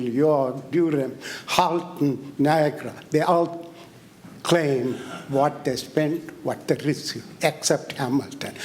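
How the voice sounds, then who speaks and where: A man's voice: fairly high pitch, a little mellow. A man from the audience at a press conference, pressing an insistent, detail-heavy question. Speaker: audience member asking a question.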